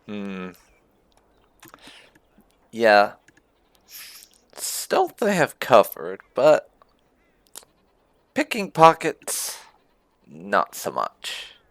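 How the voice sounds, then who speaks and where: A man's voice: on the low side, wildly swooping pitch, very slow, long pauses, no echo. A man hosting a casual roleplay game playthrough, musing and thinking aloud as he goes. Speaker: gaming video host.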